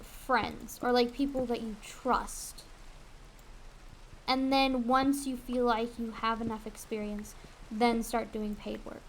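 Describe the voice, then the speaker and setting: A woman's voice: high voice, fairly bright tone, a little hiss or hum, poor audio. A young woman chatting casually and candidly on a livestream, rambling along with easy swearing as she games. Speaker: streamer and aspiring voice actress. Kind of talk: casual livestream chat while gaming. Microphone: USB desk condenser mic.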